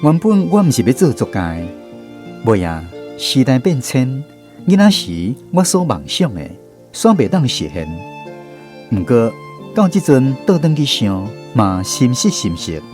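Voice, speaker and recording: A man's voice: medium pitch, sing-song, measured pace, a little mellow, soft background music. An actor playing a writer looking back on his village childhood in a radio drama, reflective and conversational, with a Taiwanese accent. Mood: reflective, nostalgic. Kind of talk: radio drama storytelling narration.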